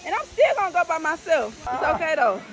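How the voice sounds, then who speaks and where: A woman's voice: very high voice, sing-song, quick pace. A woman in her twenties chatting casually to a vlog camera in a playful, slangy way. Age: 20s. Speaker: travel vlogger. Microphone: handheld camera at arm's length. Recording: noisy handheld outdoor vlog audio.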